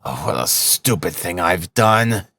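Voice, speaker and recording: A man's voice: low-pitched, animated, unhurried, bright, forceful tone, no room sound, full character voice. A man voicing a brooding, cynical young man in an audiobook, musing to himself with bitter sarcasm. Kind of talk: audiobook character acting.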